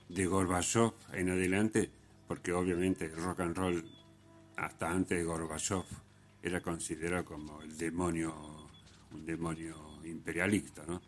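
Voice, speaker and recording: A man with an Argentine accent hosting a radio show, giving casual music commentary in a rambling, halting way with repeated words and tag questions. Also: deep voice. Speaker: radio host.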